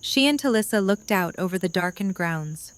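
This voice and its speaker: A woman reading the narration of an audio drama in an even storytelling manner. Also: mid-range voice, moderate pace, clear, resonant tone, dry recording. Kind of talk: scripted narration.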